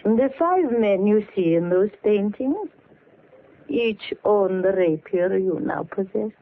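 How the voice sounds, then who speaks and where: An actress playing a mysterious, seductive Russian woman painter in 1940s radio mystery style, slow and teasing. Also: mid-range voice, animated, slight background noise. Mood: calm, ominous. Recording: muffled vintage radio recording, faint music.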